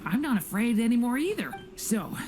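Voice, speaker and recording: A woman playing a kind, reassuring young hero in a video game, gentle and encouraging. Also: fairly high pitch, sing-song, moderate pace, over music.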